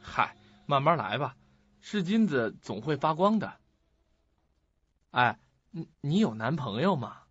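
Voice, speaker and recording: A man's voice: on the high side, sing-song, a little slow, pauses often, a bit bright, husky, no room sound, full character voice. An actor playing an awkward, friendly young male student in a Chinese radio drama. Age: young adult. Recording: dull lo-fi recording.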